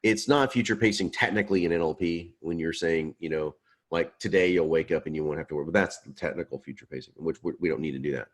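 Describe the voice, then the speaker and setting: Deep male teacher, loose storytelling delivery, laughs easily. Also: fast. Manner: chatty, self-deprecating, laughs often. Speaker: instructor. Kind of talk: online class Q&A.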